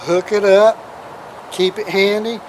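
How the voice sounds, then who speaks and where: A man's voice: sits high, steady tone, slow, rough recording. A man giving a casual, hands-on demonstration as a video host, talking in a folksy, informal way. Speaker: host of a do-it-yourself radio video. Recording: clear outdoor background noise with vehicles. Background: outdoor ambience, vehicle noise.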